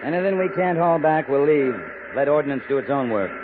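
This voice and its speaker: A man playing a gruff, commanding cavalry captain in a 1950s radio western, speaking tersely and with dry authority. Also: a little high, animated, a little fast, natural voice. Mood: brisk. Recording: muffled, noisy 1950s radio broadcast.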